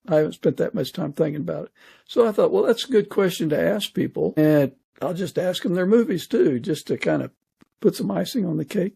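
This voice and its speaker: A man's voice: a little high, gravelly, dark tone, husky. A man hosting a podcast interview in a casual, conversational way.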